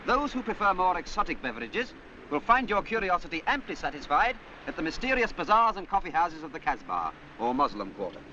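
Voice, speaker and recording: An actor playing a wordy, formal soldier in a 1940s war film, talking like a travel brochure. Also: male voice, a little high, very animated, a little fast, sharp tone. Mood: smug, informative. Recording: muffled 1940s film soundtrack, faint noise.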